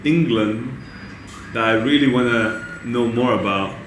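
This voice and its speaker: A man interviewing a guest in a relaxed, hesitant way, asking curious questions with frequent restarts. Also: mid-range voice, measured pace. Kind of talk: casual interview chat. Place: studio room. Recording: echoey room with faint background noise.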